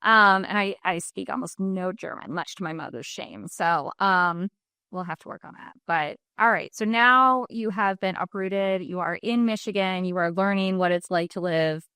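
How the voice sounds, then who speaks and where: A woman hosting a podcast with a clear, polished voice. She asks curious, friendly follow-up questions in a loose, conversational way. The recording is close and dry. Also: fairly low pitch, moderate pace, sharp tone, no room sound. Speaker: podcast host, interviewer. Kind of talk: casual interview chat.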